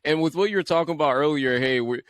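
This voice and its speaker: A man's voice: a little high, fast, no room sound, clean studio sound. Laid-back Liberian man hosting a podcast. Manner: laid-back, repeats words and stumbles, laughs at himself. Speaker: podcast host. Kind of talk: casual podcast interview.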